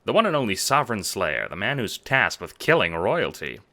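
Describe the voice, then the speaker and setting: A man narrating a fan audio drama in a dramatic, measured noir style. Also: fairly low pitch, big pitch swings, pushed and bright. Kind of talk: second-person noir narration.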